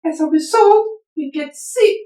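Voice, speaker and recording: Child puppet, very high pitch, cheerful, teacherly, simple explaining, roomy echo, kids' puppet show. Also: unhurried, mellow.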